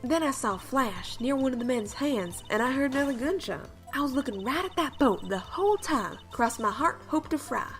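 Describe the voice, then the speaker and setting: Woman acting a high-pitched feisty Southern gal. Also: lively intonation, normal speed, few pauses, a bit bright, faint music behind. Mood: testifying. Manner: folksy, drawling, feisty. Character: brash, defensive young Southern woman photographer, courtroom witness. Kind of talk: video game fandub character acting.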